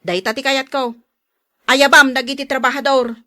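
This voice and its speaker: Female voice actor as sharp-tongued Ilocano housewife for radio drama: high, bright, very animated, bossy and grumbling. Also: moderate pace. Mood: bossy, demanding. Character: sharp-tongued, demanding wife, fussy and complaining about neighbours.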